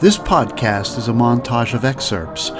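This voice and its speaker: A man's voice: medium pitch, animated, a little slow. A man hosting a podcast with scripted narration, delivered in emphatic, announcer-like phrases. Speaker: podcast host. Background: music.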